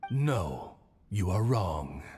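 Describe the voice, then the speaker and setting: A loyal, sage bird servant from a video game, dignified and eloquent. The voice is on the deep side and unhurried, with lively rises and falls in its formal phrasing. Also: male voice, a little hiss or hum, exaggerated voice. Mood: wise, reassuring. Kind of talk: theatrical video game voice acting.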